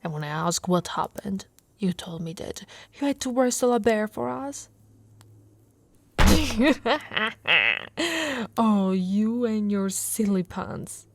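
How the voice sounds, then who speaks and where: A woman's voice: medium pitch, animated, slow, pauses often, a little hiss or hum. A woman from Italy voicing an affectionate, playfully boastful orc warrior wife in an intimate, teasing ASMR roleplay, often dropping to a whisper.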